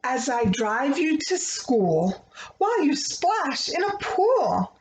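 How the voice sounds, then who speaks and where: A woman reading a rhyming children's picture book aloud, with expressive, deliberate phrasing meant for young listeners. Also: high-pitched. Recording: roomy echo, faint background noise.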